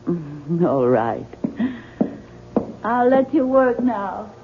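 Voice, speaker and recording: An actress playing a hurt, doubting young wife in a radio mystery drama, plaintive and resigned. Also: female voice, medium pitch, sing-song, drawn-out words, exaggerated voice. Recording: tinny, noisy 1970s radio broadcast.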